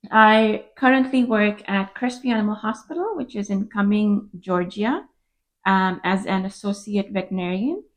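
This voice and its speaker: A woman's voice: mid-range voice, rough recording. A woman from Dubai, a veterinarian, explaining things carefully with many fillers as she answers interview questions. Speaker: veterinarian guest.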